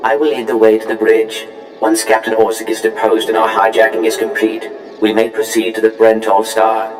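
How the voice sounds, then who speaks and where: A formal, coldly sinister protocol droid from a video game. The robotic delivery is low and utterly flat. It rings with reverb from a distance over music. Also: male voice, moderate pace. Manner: formal, deadpan. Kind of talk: video game character acting. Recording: distant, echoey reverb under loud music.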